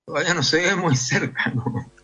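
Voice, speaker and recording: A man's voice: on the high side, normal speed, pushed and bright, breathy edge. An older man with a Chilean accent, a priest answering conversationally in an interview and often seeking agreement with tag questions.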